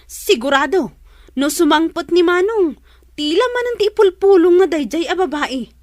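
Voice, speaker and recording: A woman's voice: very high voice, expressive, moderate pace, darkish tone, dry recording, clean studio sound. A woman playing a spiteful, whiny young daughter who complains petulantly, in an Ilocano-accented radio drama.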